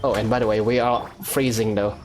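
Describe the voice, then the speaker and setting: A man's voice: lowish voice, flat delivery, brisk, a little mellow. A man giving casual let's play commentary, reacting aloud and talking to himself. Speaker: gaming video host. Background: faint game music.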